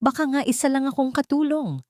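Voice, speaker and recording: A woman's voice: sits high, expressive, a little fast, darkish tone, dry recording, studio quality, voice pushed up. A woman voicing a defiant young housemaid. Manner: firm, accusing.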